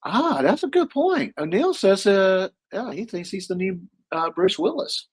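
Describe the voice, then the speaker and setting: A man with a husky voice, co-hosting a casual chat about movies, rambling with lots of fillers and restarts. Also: sits high, very animated, a little slow. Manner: rambling, many fillers and restarts, chuckles often. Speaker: co-host.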